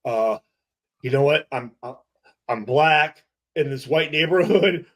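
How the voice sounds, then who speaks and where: A middle-aged man telling a casual story with heavy swearing, halting and repeating himself as he acts out exchanges. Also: a little high, a bit bright. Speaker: podcast guest telling a story.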